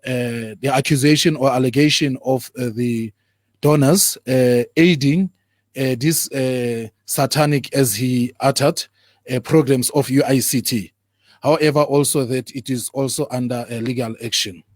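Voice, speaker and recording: Male imam guest, dry studio sound, halting speech, lots of fillers. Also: mid-range voice, fairly even intonation, no room sound. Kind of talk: interview answers. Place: broadcast studio.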